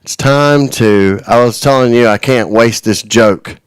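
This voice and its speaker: Rambling man in his forties, smooth voice. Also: medium pitch, unhurried, no room sound. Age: 40s. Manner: rambling, many restarts, chuckles often. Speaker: radio show host. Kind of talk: casual radio chat. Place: radio studio.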